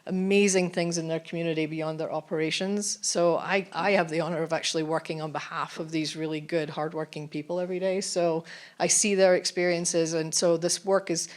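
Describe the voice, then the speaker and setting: A woman's voice: low-pitched, flat delivery, a little fast. A woman, a corporate executive, speaking earnestly on a festival panel. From Scotland. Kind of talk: panel discussion.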